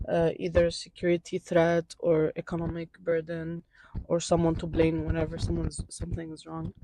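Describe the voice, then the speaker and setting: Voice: medium pitch, mellow. A student from Syria answering interview questions on a campus outdoors, speaking hesitantly and searching for words.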